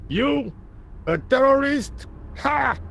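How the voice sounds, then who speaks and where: Game worker: blustering, scornful labourer, high creaky voice, music in the background. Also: male voice, animated, slow pace, a bit bright, a little hiss or hum, exaggerated voice. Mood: chatty, scornful. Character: cocky, gruff, work-shy Parisian workman. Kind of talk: video game dialogue acting.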